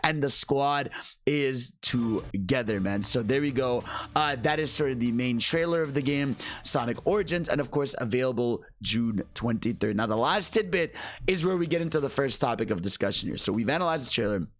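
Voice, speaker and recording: A man giving casual, excited video commentary in a slangy, rambling, gushing fan style over music. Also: medium pitch, normal speed. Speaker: gaming video creator.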